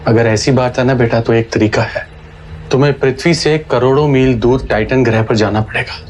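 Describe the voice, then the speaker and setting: A man playing a kindly older scientist in an audio drama, giving grave advice in an avuncular, measured manner. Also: medium pitch, steady tone, darkish tone, slight background noise, natural voice. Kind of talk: Hindi audio drama acting.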